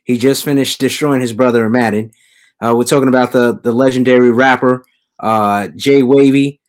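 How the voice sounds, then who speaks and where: A man's voice: medium pitch, steady tone, normal speed. A man hosting a casual podcast, teasing his co-hosts and laughing often. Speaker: podcast host.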